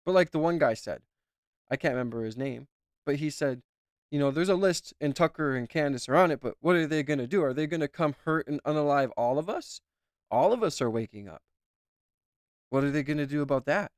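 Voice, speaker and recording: A man's voice: a little high, expressive, fairly quick, dry recording, studio quality. A man commenting as a YouTuber, talking in a rambling, emphatic way and repeating himself. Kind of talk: casual reaction commentary.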